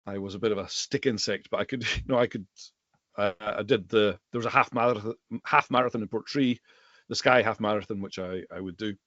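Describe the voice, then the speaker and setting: A middle-aged man from the Western Isles of Scotland, a native Gaelic speaker with a Highland accent, chatting conversationally as an interview guest. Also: a little fast, a bit bright, no echo, dull audio. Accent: Scottish Highland. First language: Scottish Gaelic. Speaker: guest, bookseller and Gaelic teacher.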